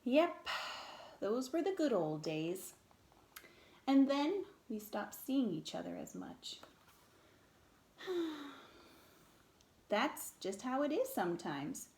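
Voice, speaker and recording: A woman reading a children's picture book aloud in an expressive, theatrical way, with dramatic pauses and emphasis. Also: fairly high pitch, roomy sound.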